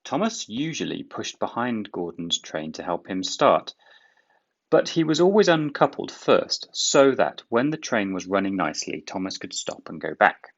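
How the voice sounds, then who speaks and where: A man reading a children's book aloud in expressive storybook narration. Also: on the low side, moderate pace, lo-fi sound.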